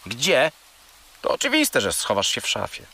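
A childlike, greedy furry creature in a children's radio play. It is plaintive and melodramatic, with a forceful, bright tone and wildly swinging pitch. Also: male voice, wildly swooping pitch, moderate pace, creaky, full character voice. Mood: matter-of-fact. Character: small furry toy companion, whiny, greedy, dramatic, childlike. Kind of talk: children's audio drama acting.

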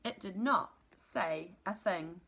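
A woman in her thirties reading a children's storybook aloud in an expressive, deliberate, emphatic way. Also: fairly low pitch, creaky, background noise. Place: small room at home, indoors.

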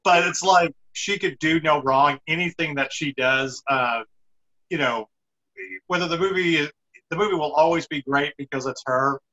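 Bright, forceful man interviewing. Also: on the high side, normal speed. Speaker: interviewer, show host. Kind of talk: casual video interview chat. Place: indoor room on a video call. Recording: slightly dull video-call audio.

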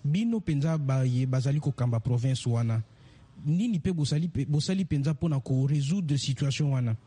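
A man asking questions as a radio news interviewer, somewhat halting and repeating words. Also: mid-range voice, dark tone, husky.

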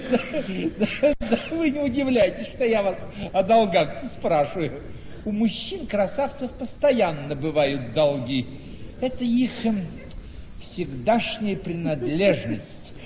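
An actor playing a fussy, ingratiating older male relative in a radio play, repeating words and exclaiming haltingly. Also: high-pitched, very animated, darkish tone, long reverb tail, full character voice, rough recording. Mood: slyly deferential. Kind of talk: theatrical radio play acting.

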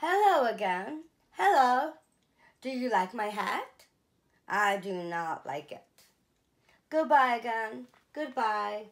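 A woman in her thirties reading a picture book aloud for children, slow and clear, with lively rises on the dogs' exclamations. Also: on the high side. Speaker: children's museum storyteller. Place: small indoor room, seated in an armchair.

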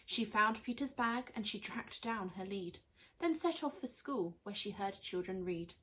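A young woman reads a rhyming children's storybook aloud in an expressive, teacherly way. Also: medium pitch, a little slow. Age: young adult. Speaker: primary school teacher reading aloud. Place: small indoor room on a sofa.

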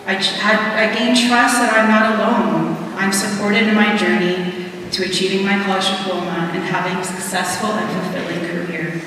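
A young woman reading a prepared graduation speech from a podium in an auditorium, earnest and measured, pausing between words. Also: mid-range voice, background noise. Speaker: student graduation speaker. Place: large auditorium stage at a podium. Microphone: podium microphone heard from a distant camera. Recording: echoey hall from far off.

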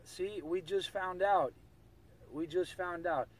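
A man's voice: high voice, slow. A man taunting others in casual banter over CB radio, with a mocking, needling manner. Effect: CB radio transmission. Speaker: amateur CB radio operator. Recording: distant, very noisy CB radio.

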